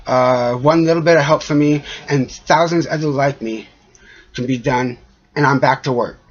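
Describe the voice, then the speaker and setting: A man with a husky, unclear voice talks in a rambling, aggrieved monologue. He speaks haltingly, pausing often as he works through his complaints. The recording is dull and lo-fi. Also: mid-range voice, steady tone. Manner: halting, frequent trailing pauses, aggrieved. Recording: dull lo-fi audio.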